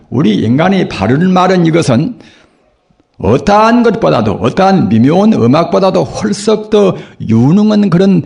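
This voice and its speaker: An older man, a Buddhist monk, giving a sermon in a hoarse voice from a cold, preaching slowly and earnestly with rhetorical questions. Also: a little high, a little mellow. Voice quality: gravelly, husky. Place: temple dharma hall.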